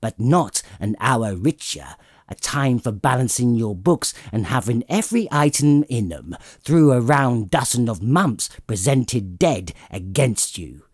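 A man's voice: medium pitch, lively intonation, measured pace, husky, dry recording, studio quality. A man voicing a grumpy old miserly uncle in an audiobook, curt, indignant and scornful. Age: older. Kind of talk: dramatic audiobook character reading.

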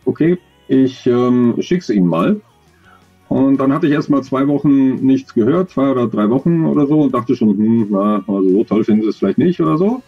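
A man in his forties, an audio drama author, talking thoughtfully in an interview over a video call, with many pauses and fillers. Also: mid-range voice, husky, lo-fi sound. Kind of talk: relaxed conversational interview.

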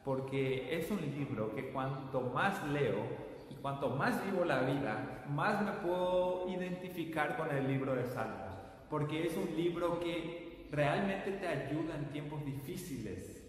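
Slow Paraguayan man in an echoey room. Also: fairly high pitch, lively intonation. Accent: Paraguayan Spanish. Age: 20s. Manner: slow, deliberate, gestures while speaking. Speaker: Bible teacher. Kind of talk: warm-toned classroom lecture to camera. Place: small room with a whiteboard, indoors. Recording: very echoey room with a long reverb tail and faint noise.

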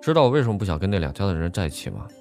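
A bookish young male student, acted by a man talks quickly and matter-of-factly in a slightly low voice. It is audio drama dialogue with light music underneath. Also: big pitch swings, a little mellow. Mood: flat, resigned.

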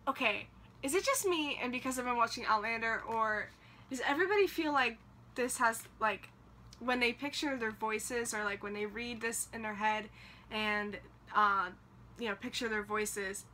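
Young woman rambling on webcam, kinda high, really bright voice, faint hiss behind. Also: moderate pace. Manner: rambling, chatty, lots of fillers. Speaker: book vlogger. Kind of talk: casual chat to camera. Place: small room in front of bookshelves, indoors.

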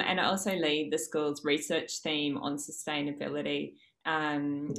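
Low-voiced Australian woman lecturer. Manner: steady, measured with frequent "um". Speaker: university lecturer. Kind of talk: conference presentation over video call. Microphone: video call.

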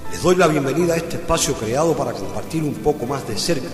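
A man hosting a radio program, reading scripted narration in a measured, poetic way. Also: medium pitch, lively intonation. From Cuba. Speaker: radio host. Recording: reverberant room with soft music.

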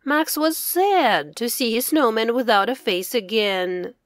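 A woman's voice: on the high side, lively intonation, unhurried, dry recording, clean studio sound. A woman reading scripted children's storybook narration as its author, enunciating carefully for English learners.

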